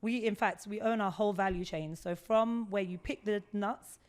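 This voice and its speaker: A woman's voice: mid-range voice, normal speed. A woman from the United Kingdom, a skincare entrepreneur, talking animatedly as a guest in a casual interview. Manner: animated and talkative, storytelling.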